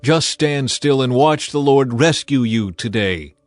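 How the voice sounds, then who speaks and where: Guy playing steady hebrew prophet in bible audio drama, firm + reassuring, medium pitch, music behind. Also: a little slow, no echo, close to an everyday voice. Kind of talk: dramatized scripture reading.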